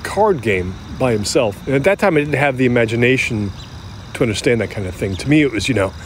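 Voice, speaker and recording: An actor playing a middle-aged American man who recalls his youth candidly, in a halting, conversational, self-deprecating way, as if interviewed for an audio drama. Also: mid-range voice, expressive, slight background noise, close to an everyday voice. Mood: reflective, candid. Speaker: interview subject. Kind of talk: naturalistic audio drama acting as interview reminiscence.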